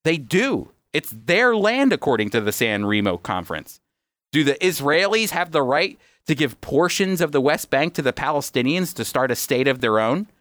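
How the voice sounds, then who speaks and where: A man's voice: fairly high pitch, big pitch swings, normal speed, a bit bright, dry recording. A man hosting a radio program, explaining his points emphatically in a teaching style and often answering his own questions. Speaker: radio host.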